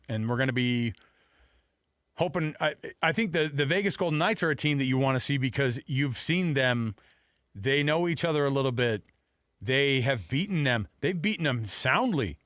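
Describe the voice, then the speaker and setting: An American man with a medium-pitched voice co-hosts a sports talk show. He reasons out loud in a conversational, emphatic style, repeating phrases to press his point. The sound is dry and free of room echo. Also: lively intonation, moderate pace. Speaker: sports radio co-host. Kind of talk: unscripted sports talk and analysis.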